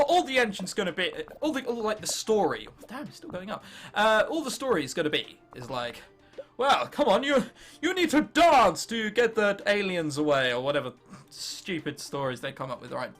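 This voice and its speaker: A man's voice: high-pitched, big pitch swings, fairly quick, fairly bright tone, soft background music. A 19-year-old man with an English accent giving casual gameplay commentary, joking sarcastically and muttering irreverently at the game. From England. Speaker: gaming video host.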